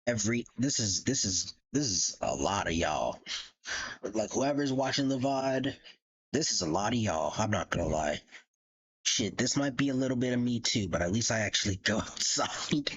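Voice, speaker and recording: A young man with a husky voice reacts casually on a livestream. His pitch jumps around while he swears and trades slang, on a slightly dull mic. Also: expressive, moderate pace. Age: early 20s. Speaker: streamer.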